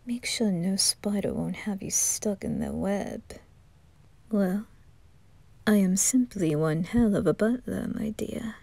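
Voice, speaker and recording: Woman voicing a hushed devilish butler. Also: medium pitch, slow, no echo. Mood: soothing. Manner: soft whispery, formal and smooth. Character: suave devilish male butler, calm and devoted. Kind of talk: fan ASMR roleplay audio drama.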